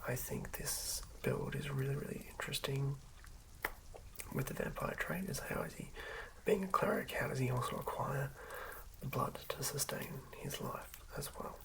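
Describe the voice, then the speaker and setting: A person whispering softly in an ASMR style, narrating a tabletop character build in a breathy, creaky hush. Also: mid-range voice, flat delivery, moderate pace, low-quality recording. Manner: hushed whispering. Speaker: ASMR creator.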